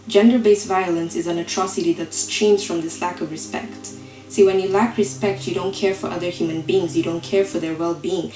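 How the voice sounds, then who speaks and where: A woman with a slightly low voice recites slam poetry in a roomy, echoing space. Her delivery is deliberate and rhetorical, broken by dramatic pauses. Faint music plays behind her. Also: mellow. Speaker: slam poet.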